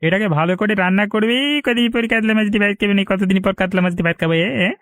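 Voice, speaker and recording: A man's voice: high voice, racing, fairly bright tone, no room sound. A man voicing a greedy, bumbling village husband in a cartoon, eager and sly, with a flustered, wheedling, repetitive delivery. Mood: excited, pleased. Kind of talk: Bengali cartoon voice acting.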